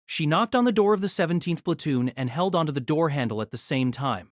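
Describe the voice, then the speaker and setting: A narrator telling a fan audio drama story in an expressive storytelling manner. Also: medium pitch, fairly quick, no echo, clean studio sound.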